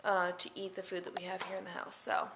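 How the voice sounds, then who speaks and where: A woman's voice: lowish voice, monotone, fast talker, fairly bright tone, slight background noise. A young adult woman chatting casually to camera in a diary-style vlog, rambling with lots of fillers. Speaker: vlogger.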